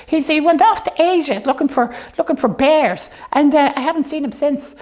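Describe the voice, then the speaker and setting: A woman's voice: sits high, normal speed, a little mellow, close to an everyday voice. A woman voicing a bossy, childlike know-it-all puppet who rambles through muddled stories in an improvised comic show. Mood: storytelling.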